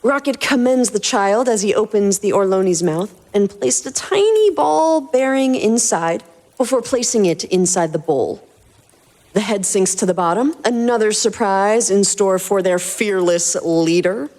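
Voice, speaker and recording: Woman narrating a story, mid-pitched. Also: animated, a little slow, a little mellow. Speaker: podcast host and narrator. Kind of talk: expressive scripted story narration.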